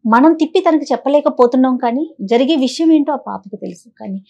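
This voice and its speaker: A woman's voice: high voice, expressive, quick pace, vocal fry, mellow, dull audio. A woman co-hosting a counselling call-in show, giving advice and commentary in a conversational way.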